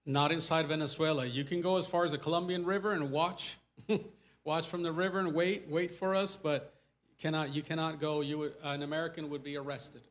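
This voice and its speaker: Animated man with a Mexican accent. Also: fairly high pitch, brisk. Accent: Mexican Spanish. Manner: animated, gesturing, voice rising when stressing points. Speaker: missionary pastor. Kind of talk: casual church presentation with questions. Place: church sanctuary before a pulpit. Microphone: lapel mic.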